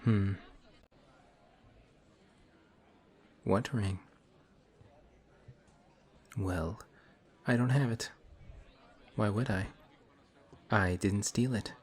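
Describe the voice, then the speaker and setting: A man voicing a suave male villain who teases a hero in an audio roleplay, slow and taunting. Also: fairly low pitch, a little mellow, breathy edge, some chatter behind, exaggerated voice. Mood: mocking. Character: smug suave male villain, teasing and calm. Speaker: voice actor.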